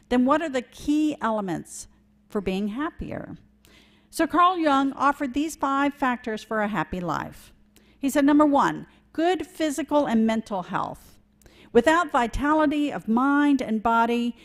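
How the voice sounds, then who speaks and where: Woman preaching in church, slightly high voice, calm and earnest. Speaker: lay preacher. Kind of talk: sermon. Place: church sanctuary.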